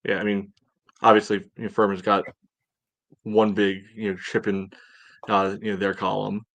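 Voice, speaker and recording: A man's voice: lowish voice, little pitch movement, normal speed, a bit bright, no room sound. A man co-hosting a casual sports talk show, hesitant and thinking aloud as he goes. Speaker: sports podcast co-host.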